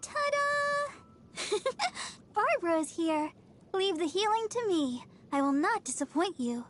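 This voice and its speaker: Game casting: sweet young girl idol healer, extremely high voice, earnest and bubbly, music underneath. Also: big pitch swings, slow, fairly bright tone, exaggerated voice. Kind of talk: video game character voice lines.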